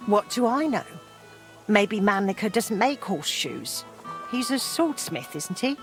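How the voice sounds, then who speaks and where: A brisk, matter-of-fact medieval shop woman from a game. Her voice is mid-pitched and animated, with music playing behind the dialogue. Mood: breezy, unconcerned.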